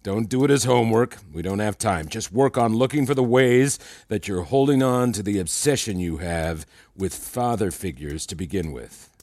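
An actor playing a controlling, self-assured therapist in a fiction podcast, with a patronizing, deliberate, needling manner. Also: male voice, lowish voice, lively intonation, darkish tone, no echo. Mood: cold, controlling.

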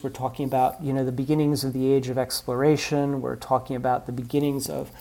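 A man's voice: mid-range voice, flat delivery, quick pace, dark tone. A man speaking as an expert guest, explaining history in thoughtful interview answers full of ums and uhs.

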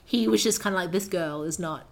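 A woman's voice: lowish voice, a little fast, gravelly. A young adult woman hosting a podcast, telling an anecdote in a casual, chatty way with self-deprecating humour. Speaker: podcast host.

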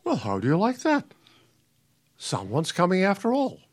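A man's voice: high-pitched, huge pitch swings, normal speed, over music. A man playing a patient, resigned retired professor, an older husband in a radio play, speaking in a dry, understated way. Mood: placating.

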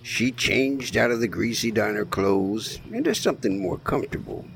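A man's voice: on the low side, normal speed, vocal fry. A man acting a small-town gravedigger who narrates eerie tales in a folksy audio play style, over background noise. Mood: wry, ominous. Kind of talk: folksy scripted story narration.

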